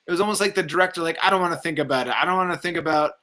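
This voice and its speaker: A man's voice: fairly high pitch, very fast, sharp tone. A man talking casually about movies, opinionated and rambling, with some swearing. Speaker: film review show panelist.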